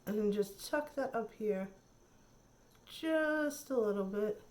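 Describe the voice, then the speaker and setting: A woman's voice: medium pitch, lively intonation, slow. A woman chatting casually through a makeup tutorial, thinking aloud and admiring the colors, her voice a little nasal from a cold. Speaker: beauty vlogger.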